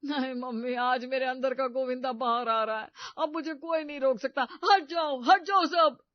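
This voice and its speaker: A woman's voice: sits high, normal speed, dry recording, muffled recording, lightly acted. A woman voicing a whiny, hesitant young son-in-law in an exaggerated Hindi comedy cartoon. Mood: excited, desperate.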